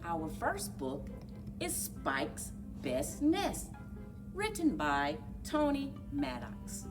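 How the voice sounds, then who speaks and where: A woman reads a children's story aloud with a high, very lively voice. She takes her time over each phrase in an expressive, deliberate way. She sounds a little way off from the microphone. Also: creaky, far from the mic, music in the background. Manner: expressive, deliberate, sing-along encouragement.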